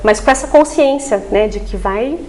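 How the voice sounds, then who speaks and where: Female expert guest for TV interview, higher-pitched, explains step by step, checks in often. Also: moderate pace, poor audio. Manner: didactic, frequent tag questions seeking agreement. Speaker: voice therapist guest.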